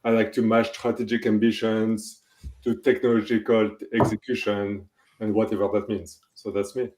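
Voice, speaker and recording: Man, forties, French accent, lower voice, relaxed and thoughtful, echoey room on a call. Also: moderate pace. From France. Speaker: guest, technology executive. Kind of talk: casual interview answers. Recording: roomy, echoey call audio.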